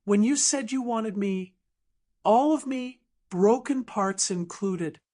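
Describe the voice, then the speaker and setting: A woman playing a wounded, regretful woman in an audio drama, confessing in an earnest, halting, emotional way. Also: mid-range voice, mellow. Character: wounded, regretful woman confessing her fears to a lost love.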